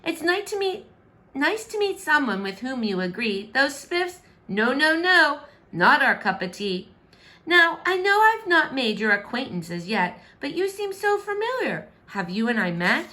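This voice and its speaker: A woman's voice: sits high, big pitch swings, measured pace, sharp tone, pitched above the performer's own voice, full character voice. A woman voicing a proud, wounded boy prince in a children's verse storybook, with a theatrical, grumbling manner. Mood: relieved.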